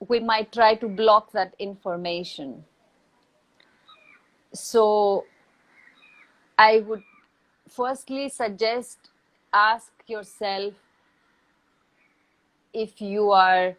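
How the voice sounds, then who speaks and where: Slow, measured non-native English-speaking woman. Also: medium pitch, low-quality recording. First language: not English or Hindi. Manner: thoughtful, measured, with deliberate pauses. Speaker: acting teacher, interview guest. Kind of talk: reflective advice in a live online Q&A.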